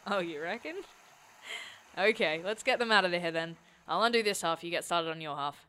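A woman's voice: lowish voice, normal speed, bright, forceful tone, clear, resonant tone. An actress playing a sarcastic, brash young woman who hunts monsters in an audio drama, with a blunt, wry, slangy delivery.

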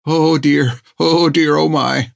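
A man's voice: a little high, fairly even intonation, slow, creaky, no room sound, pitched above the performer's own voice, exaggerated voice. A man voicing a fussy, pompous male ship's doctor who speaks in a prim, indignant way. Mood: fretful.

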